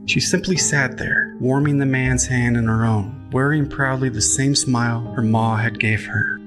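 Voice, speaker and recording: A man reading scripted literary fiction as a podcast narrator, with a measured storytelling delivery. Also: mid-range voice, steady tone. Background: music, guitar.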